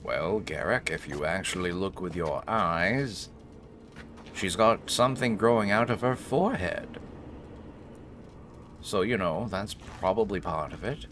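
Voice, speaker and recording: A man with a deep voice hosts a casual gaming playthrough. He takes his time, swings his intonation widely and keeps up a wry, playful patter as he comments and reads aloud. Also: animated, a little slow, a bit bright, slight background noise. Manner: wry, playful banter with game characters. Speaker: gaming video host. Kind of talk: casual joking let's play commentary, reading game text aloud.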